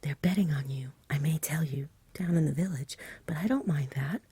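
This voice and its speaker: A woman voicing a frank, patient, confident male knight in a breathy whisper, courteous and persuasive. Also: deep voice, normal speed, mellow, no echo. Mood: frank, pleasant.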